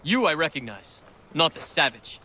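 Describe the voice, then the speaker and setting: An actor playing a suspicious, brusque colonial gate guard in a video game, with old-fashioned, challenging speech. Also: male voice, a little high, sing-song, normal speed, bright, forceful tone, slight background noise. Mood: wary, challenging. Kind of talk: video game cutscene acting.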